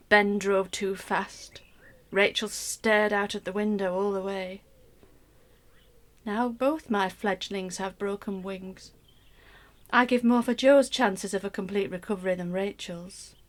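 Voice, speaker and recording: An actress playing an anxious middle-aged mother, telling her story in an expressive, wry first-person radio play monologue. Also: mid-range voice, lightly acted. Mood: weary, anxious. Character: anxious middle-aged mother recounting a troubled family holiday.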